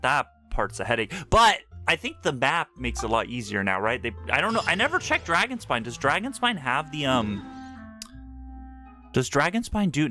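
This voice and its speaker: A male game streamer chatting casually as he plays. His voice is bright and forward, with animated swings in pitch and a reactive, slangy manner. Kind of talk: casual live playthrough commentary.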